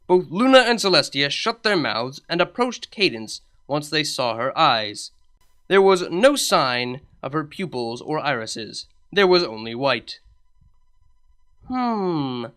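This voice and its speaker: Brief: female narrator, slow and expressive, story reading. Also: deep voice. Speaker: fanfiction reader.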